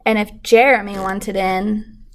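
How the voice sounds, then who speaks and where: A woman's voice: mid-range voice, steady tone, a little slow. A woman reading a children's storybook aloud expressively, like a teacher with a class lesson.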